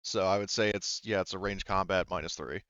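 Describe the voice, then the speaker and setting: A man with a low, smooth voice acts as game master of a tabletop roleplaying game. He explains in a halting, self-correcting way. The recording is dry and slightly dull. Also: fairly even intonation, a bit bright, dry recording.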